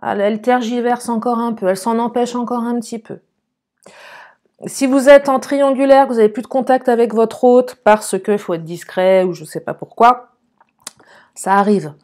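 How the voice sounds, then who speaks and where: A woman with a fairly high voice, a little hoarse. She hosts an informal love tarot reading, speaking straight to her viewers and thinking aloud as she interprets the cards. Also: fairly even intonation, normal speed, a little mellow. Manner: chatty, thinking aloud, addressing viewers directly. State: slightly hoarse. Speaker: online love card-reading guide and host.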